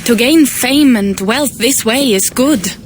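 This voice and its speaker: Game cutscene: a Viking raider whose fairly high voice sounds earnest and searching. Faint ambient noise runs behind her. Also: slow. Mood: uneasy. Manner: earnest and questioning. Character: troubled female Viking raider. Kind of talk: video game cutscene acting.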